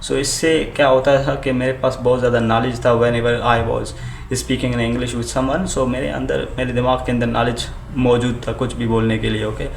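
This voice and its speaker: A young man with an Urdu accent, a native Urdu speaker, giving language-learning advice in a halting casual vlog style full of fillers and restarts, switching between English and Urdu. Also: mid-range voice, flat delivery, vocal fry. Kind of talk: casual talking to camera. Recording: echoey room with faint ambient noise.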